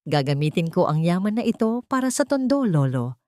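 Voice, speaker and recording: A woman's voice: fairly low pitch, big pitch swings, a little fast, dark tone, no echo, studio quality. Woman voicing a tender, resolute young heiress.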